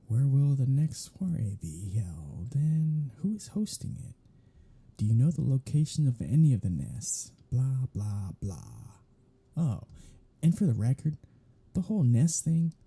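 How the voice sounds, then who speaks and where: A man playing a captive vampire in an audio roleplay, snarky, taunting and flirtatious, drawling sarcastic lines in a husky, gravelly voice. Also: medium pitch, dark tone, exaggerated voice. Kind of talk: scripted audio roleplay monologue.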